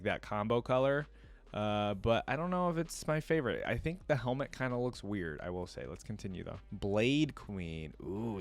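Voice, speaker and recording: A man hosting a reaction video, talking casually and thinking aloud as he addresses his viewers. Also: fairly low pitch.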